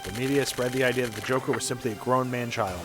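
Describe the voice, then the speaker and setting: A man reading game narration aloud in a measured, dramatic storytelling way. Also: medium pitch, fairly even intonation, music in the background.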